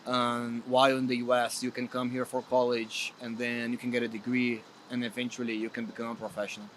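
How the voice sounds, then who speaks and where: A man's voice: mid-range voice, flat delivery, a little fast, a bit bright, slight background noise, rough recording. A man from Brazil with a Brazilian accent, a guest player speaking earnestly in a casual interview, often restarting his sentences. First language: Portuguese. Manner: earnest, hesitant with many restarts.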